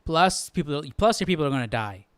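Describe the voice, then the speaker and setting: A man's voice: a little high, sing-song, brisk, smooth, no echo. A man hosting a live Bible study, commenting casually and thinking aloud, often checking himself with a questioning tag. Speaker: host.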